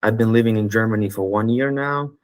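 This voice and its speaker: Low-voiced man from North Macedonia, hesitant. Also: a little fast, dry recording, clean studio sound. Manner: hesitant, brief answers. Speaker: guest, student seller. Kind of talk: interview answers.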